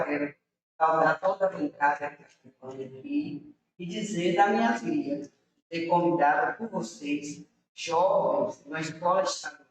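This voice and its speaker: Woman guest, earnest rambling storyteller, far off in a very echoey room. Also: on the low side. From interior of Bahia, Brazil. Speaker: city councilor guest. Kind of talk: casual storytelling to a young audience.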